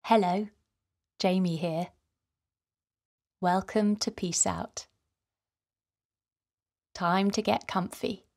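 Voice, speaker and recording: Slow, soothing woman guiding children's relaxation. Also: medium pitch, fairly even intonation. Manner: gentle, soothing, breathy soft delivery. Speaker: children's guided meditation host. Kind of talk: guided relaxation narration for children.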